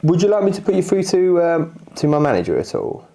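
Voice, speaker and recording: A man's voice: fairly high pitch, huge pitch swings, fairly quick, gravelly, warm tone, slight background noise, exaggerated voice. Man posing as polite, officious utilities officer. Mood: politely cheeky. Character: brisk, matter-of-fact council utilities officer making a prank call. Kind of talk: prank phone call.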